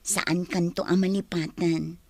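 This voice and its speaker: A woman's voice: lowish voice, little pitch movement, unhurried, mellow, no room sound. A woman with an Ilocano accent playing a consoling friend in a radio drama. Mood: gentle, apologetic. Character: consoling female friend, longing to go home.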